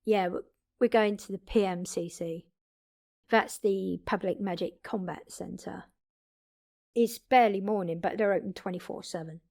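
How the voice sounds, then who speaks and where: A woman's voice: medium pitch, moderate pace, vocal fry, a little mellow. A woman playing a blunt, wry immortal vampire mentor in a one-sided audio drama, conversational and teasing in her delivery. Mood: curious, brisk.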